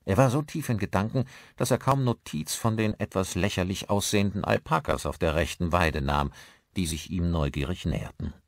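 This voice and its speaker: A man reading a scripted mystery story as an audiobook narrator, with expressive, measured delivery. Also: low voice, no echo, clean studio sound.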